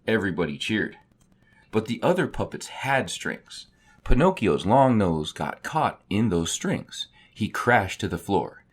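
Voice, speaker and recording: A man's voice: lowish voice, sing-song, a little slow, breathy edge. A man narrating a children's story as a storybook reader.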